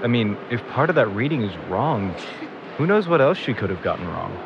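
A supportive young man, gently teasing, with very lively intonation. The audio drama scene sounds slightly muffled, with clear street noise around him. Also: medium pitch, brisk. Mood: reassuring, teasing. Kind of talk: naturalistic audio drama acting.

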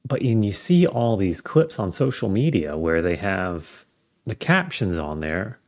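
A man's voice: low voice, big pitch swings, unhurried. A male podcast co-host in casual chat, giving short reactions and then laying out an idea with some animation.

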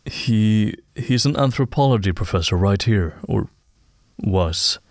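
A fairly low, dry-sounding male voice, an actor playing a keen audio expert in an audio drama. He is thoughtful and slightly hesitant as he works through a puzzle. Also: animated, unhurried, dry recording. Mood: hesitant recognition.

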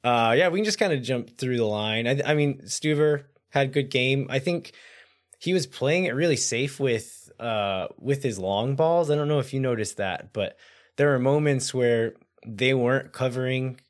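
A man co-hosting a casual sports podcast, his voice raspy and hoarse from yelling at a game, talking through match analysis with fillers. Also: medium pitch, moderate pace. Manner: analytical, thinks aloud with fillers. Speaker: podcast co-host.

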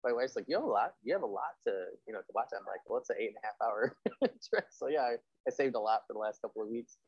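A man's voice: mid-range voice, lively intonation, fast, breathy edge, dry recording, dull audio. A man hosting a podcast interview in a casual, chatty, informal way.

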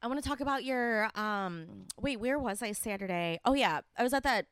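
A woman's voice: expressive, moderate pace, a bit bright, a little hiss or hum. Chatty young Midwestern woman. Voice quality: high, shrill. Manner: chatty, laughs often. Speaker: podcast co-host. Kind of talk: casual chat.

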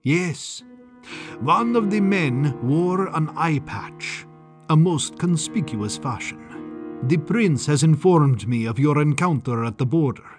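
A man's voice: fairly high pitch, lively intonation, slow, faint music behind. A man voicing a smug, gleeful and sinister scientist in an audiobook, with a sing-song, condescending, theatrical manner. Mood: smug, boastful. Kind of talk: audiobook character acting.

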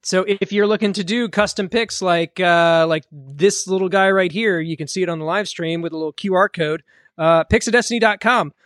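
Man, podcast co-host, fairly high voice, dry close sound, loose rambling chat. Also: moderate pace, no room sound. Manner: relaxed, rambling with many fillers. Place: home studio during a live stream.

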